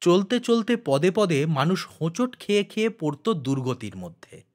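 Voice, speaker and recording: Guy reading an audiobook story, bit high voiced, expressive and dramatic, careful phrasing. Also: normal speed. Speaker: audiobook narrator. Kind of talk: expressive literary story narration.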